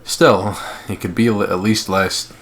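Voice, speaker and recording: A man's voice: lowish voice, steady tone, a little slow, a bit bright. A man co-hosting a let's play, mixing casual, sweary, joking banter with reading the game's text aloud.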